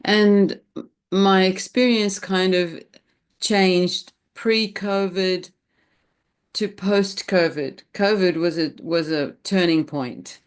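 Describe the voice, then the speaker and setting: A woman speaking as a panelist on an online webinar, answering in a thoughtful, unhurried way. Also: medium pitch, clean tone. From Australia. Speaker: panelist, academic integrity officer. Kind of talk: panel discussion.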